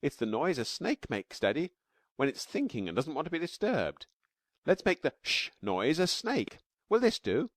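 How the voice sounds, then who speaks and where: A man voicing an eager, chattering little cave girl with a high, squeaky voice. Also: sing-song, moderate pace, no room sound, studio quality.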